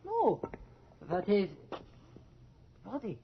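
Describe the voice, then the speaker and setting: A man's voice: high-pitched, huge pitch swings, very slow, background noise, full character voice. An actor playing a timid, over-eager young male anatomy student in a radio drama, stammering and gushing. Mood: nervous, hesitant. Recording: dull, lo-fi radio drama recording.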